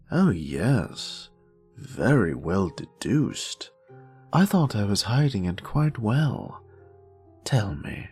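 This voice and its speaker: Casting: grumpy, haughty British male wizard played by a male actor for audio roleplay; low, creaky voice, sardonic and theatrical. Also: a little mellow, heavily acted. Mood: dry, sardonic. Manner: theatrical, haughty, drawling, dry sarcasm. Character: grumpy, sassy male wizard, exiled former professor. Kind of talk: scripted character monologue, audio roleplay.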